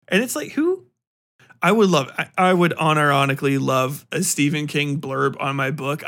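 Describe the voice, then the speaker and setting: Dude podcaster chatting loosely, voice a bit on the high side, animated, slangy, swears a ton. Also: male voice. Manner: profane, slangy, informal; animated, exclamatory, acts out mock exchanges. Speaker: podcast host. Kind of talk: casual rambling book review talk.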